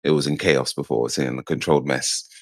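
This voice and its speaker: Very deep-voiced tired man. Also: fairly even intonation, fast talker, no room sound, clean studio sound. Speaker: trainer and motivational speaker. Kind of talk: call introduction. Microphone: video call.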